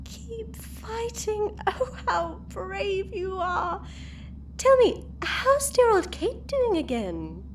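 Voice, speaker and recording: A woman's voice: very high voice, big pitch swings, extremely slow, slight background noise, full character voice. Woman playing a gloating time-travelling villainess. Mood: taunting. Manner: taunting, sing-song, theatrical. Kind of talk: fan audio drama acting.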